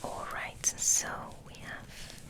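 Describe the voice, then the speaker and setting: A woman hosting ASMR, rambling in a soft, breathy, hesitant whisper. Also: slow. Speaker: ASMR video host.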